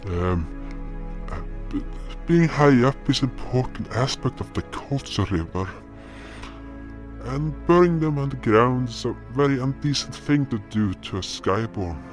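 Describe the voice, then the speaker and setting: A man voicing a gentle, halting animal guardian of the dead in an audio drama. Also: medium pitch, animated, pitched above the performer's own voice, natural voice. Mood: hesitant, explaining. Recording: music behind the voice.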